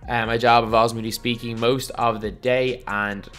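A young man talks his way through a basketball video game in a hoarse, worn-out voice, rambling and energetic. He keeps circling back with filler phrases. Faint game music plays underneath. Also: mid-range voice, normal speed. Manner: rambling, energetic, repeats "so anyway". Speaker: gaming YouTuber. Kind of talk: casual video game commentary.

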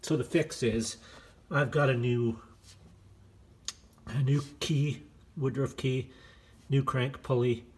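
A man's voice: mid-range voice, steady tone, takes it slowly, long pauses. A man with a scratchy voice, casually walking through a car repair in his garage, rambling with plenty of fillers as he handles the parts. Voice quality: scratchy voice from smoke. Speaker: home mechanic vlogger. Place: indoor garage workshop. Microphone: phone camera held while filming.

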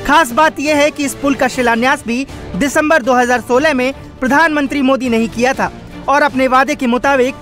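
A woman's voice: high voice, fairly even intonation, normal speed, a bit bright, soft background music. A woman reading a scripted news voice-over with punchy, emphatic headline delivery. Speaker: news narrator.

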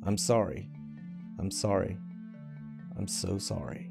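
A man's voice: low-pitched, slow, vocal fry, over music, voice pushed down, exaggerated voice. A man voicing a grief-stricken female warrior who whispers apologies, breathless. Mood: whispering remorse. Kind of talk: storytelling.

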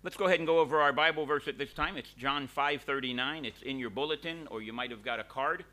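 A middle-aged man, a pastor, leading a church service from a lectern, praying and giving announcements in a relaxed, conversational way with many pauses and restarts. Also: fairly high pitch. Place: small church hall, at a lectern. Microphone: lectern microphone.

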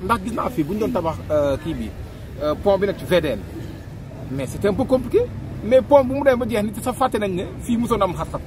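A middle-aged man with a Senegalese accent giving his opinions in a street interview, animated and talking with his hands, recorded close on a handheld mic outdoors by a busy road. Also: high voice, fast talker. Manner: animated, gestures with hands, mixes two languages. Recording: street traffic noise behind.

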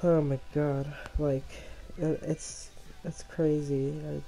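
A man with a slight cough and a voice worn down by illness, telling a rambling story over his gameplay, halting and restarting often. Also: on the high side, flat delivery, creaky, dark tone, poor audio. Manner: halting, many restarts and fillers, laughs at himself. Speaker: gamer commentating his own gameplay.

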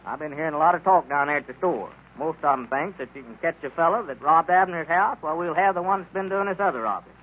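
A man's voice: a little high, fast, few pauses, slightly rough. An actor playing an earnest, righteous small-town townsman, talkative and emphatic, in old-time radio drama. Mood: indignant. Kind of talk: 1930s-40s radio drama acting. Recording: muffled, noisy old radio recording.

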